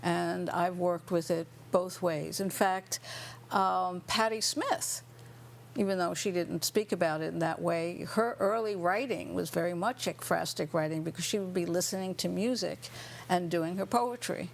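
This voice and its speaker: A woman talking as an interview guest, thoughtful and unhurried, with many pauses and fillers. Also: on the low side, gravelly. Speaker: guest artist and writer.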